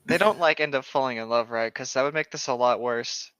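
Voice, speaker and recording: A man's voice: mid-range voice, brisk, fairly bright tone, smooth, dry recording. A man in casual banter, reading aloud and reacting with joking, mock-exasperated sarcasm. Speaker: co-host reacting to online horror fiction.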